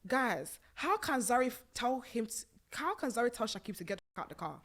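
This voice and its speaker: A young woman hosts a casual podcast, talking with a bright, forceful tone. She is emphatic and animated, often breaking into laughter. Recorded close on a studio boom mic. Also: brisk. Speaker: podcast host. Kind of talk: casual chat reviewing a reality show. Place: small purple-lit studio set on a sofa. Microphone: studio mic on a boom arm.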